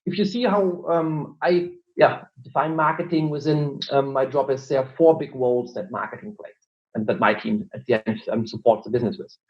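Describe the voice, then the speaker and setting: A man with a German accent answers interview questions, laying out his points step by step with many fillers. His voice sits at a middle pitch. The recording sounds a little dull and lo-fi. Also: moderate pace. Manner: explanatory, many fillers and "look". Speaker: marketing director, guest.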